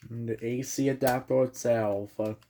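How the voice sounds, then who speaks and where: A man's voice: mid-range voice, fairly even intonation, normal speed, mellow, a little hiss or hum. Offhand man narrating a hands-on demo. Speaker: hobbyist unboxing and setting up a device. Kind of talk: casual hands-on vlog narration.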